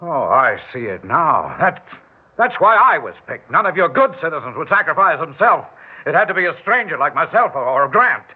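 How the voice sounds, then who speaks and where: High-pitched, betrayed American man on old radio. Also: very animated, normal speed, husky, narrow, tinny audio. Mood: bitter realization. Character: betrayed, panicking male mayor, bitter and pleading. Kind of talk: 1960s radio drama acting. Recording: band-limited vintage radio recording.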